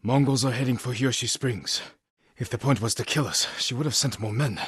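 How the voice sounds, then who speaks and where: An actor playing a grave, resolute samurai warrior in a video game, speaking in measured, solemn, terse lines with a husky voice. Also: male voice, medium pitch, no echo, clean studio sound. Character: stoic, honour-bound samurai warrior, adult man, grave and resolute. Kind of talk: dramatic scripted game dialogue.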